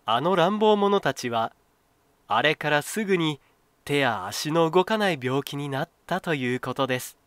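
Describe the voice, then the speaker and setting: Male narrator, animated intonation, fairly high pitch, dry close sound, expressive folktale reading. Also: moderate pace, smooth, no room sound. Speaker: storyteller. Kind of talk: scripted children's folktale narration.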